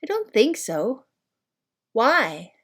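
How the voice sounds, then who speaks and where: A woman's voice: a little high, huge pitch swings, extremely slow, a bit bright, exaggerated voice. An earnest young boy from a storybook, voiced by a woman reader, apologetic and polite. He speaks delicately and with some hesitation, careful not to offend. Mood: earnest, unsure. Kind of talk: storybook character dialogue.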